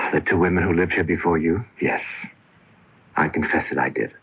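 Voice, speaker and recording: An actor playing the remorseful ghost of a violent, egotistical man in a radio drama, husky and deliberate, speaking in dramatic confession. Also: low voice, a bit bright, exaggerated voice. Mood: confessing, ashamed. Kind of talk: 1980 radio drama acting. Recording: dull AM radio airing from 1980.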